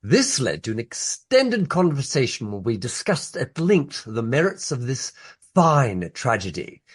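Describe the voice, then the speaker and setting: Husky, mannered Regency gentleman. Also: mid-range voice, very animated, measured pace. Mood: genteel, deferential. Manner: florid, formal, mannered. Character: deferential, genteel Regency gentleman recounting a meeting. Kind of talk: radio play storytelling.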